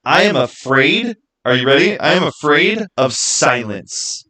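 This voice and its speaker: A man's voice: a little high, lively intonation, unhurried, bright, forceful tone. A man chatting casually to viewers on a livestream, rambling and repeating words for emphasis. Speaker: YouTube creator and livestream host. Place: small home studio, indoors.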